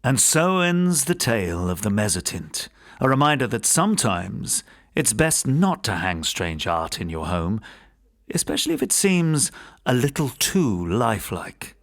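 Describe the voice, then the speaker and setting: A man giving scripted narration of gothic ghost stories as a host, in a theatrical, expressive storytelling manner. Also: medium pitch, measured pace. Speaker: podcast host and audiobook narrator.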